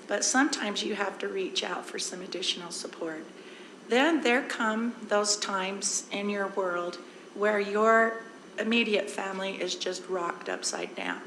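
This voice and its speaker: Hoarse woman, district presenter, easygoing and explanatory, in a roomy hall with faint noise. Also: medium pitch, fairly bright tone. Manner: conversational, explains with everyday examples. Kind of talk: informal presentation at a board meeting. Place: board meeting room.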